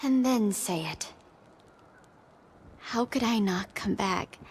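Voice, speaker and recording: A woman's voice: mid-range voice, lively intonation, slow, husky, full character voice. A woman playing a devout cult follower in a video game, instructing with serene, entranced intensity. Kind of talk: video game cutscene acting.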